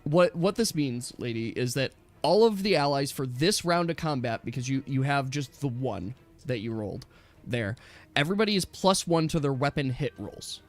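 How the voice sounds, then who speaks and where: A man's voice: medium pitch, lively intonation, normal speed. A man acting as game master in a casual tabletop game, explaining rules step by step. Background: background music.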